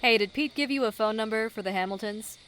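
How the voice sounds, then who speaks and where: A woman's voice: a little high, fast talker, fairly bright tone, a little hiss or hum, natural voice, rough recording. A woman playing a businesslike, weary police detective in an audio drama, with a dry manner. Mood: pensive, determined. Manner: dry, no-nonsense.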